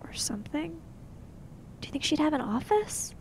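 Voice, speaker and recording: A woman with a high-pitched voice plays a tabletop roleplaying game. Her delivery swings up and down in a lively way, casual and playful, as she improvises with quick jokes. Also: whispered, low-quality recording.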